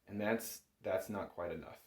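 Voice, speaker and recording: A man with a fairly low voice gives an informal lesson. He explains things step by step in a reassuring, conversational way. The sound is noticeably roomy, as if recorded in a small room at home. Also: fairly even intonation, moderate pace, darkish tone. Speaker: bagpipe instructor. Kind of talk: casual instructional talk.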